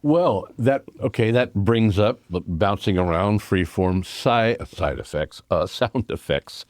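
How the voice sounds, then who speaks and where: Low-voiced man, halting radio chat. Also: lively intonation, darkish tone, dry recording, studio quality. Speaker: radio show host.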